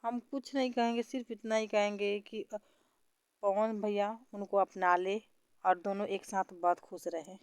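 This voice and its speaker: A woman's voice: mid-range voice, fairly even intonation, a little slow, darkish tone, smooth, no room sound. A woman, calling as a fan on a recorded phone conversation, speaking in an earnest, pleading and repetitive way. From Bihar, India. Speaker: caller, fan.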